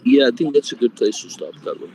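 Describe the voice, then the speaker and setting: A man's voice: mid-range voice, animated, quick pace, a little mellow, a little hiss or hum, low-quality recording. A man hosting an online study group, talking casually and hesitantly over a video call. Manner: casual, hesitant, many fillers.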